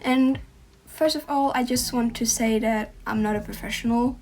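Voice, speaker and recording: A teenage girl from Norway talks in English with a Norwegian accent, her voice pitched a little high. She rambles casually and hesitantly, full of ums. Faint music plays underneath. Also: fairly even intonation, moderate pace, a little mellow, rough recording. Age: teens. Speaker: art channel host. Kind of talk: casual chat to camera.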